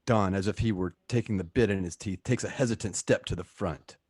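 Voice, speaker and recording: Male narrator, scripted stage directions, radio play, lowish pitch, dry recording. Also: a little fast, darkish tone, slightly rough, no room sound. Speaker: host and narrator.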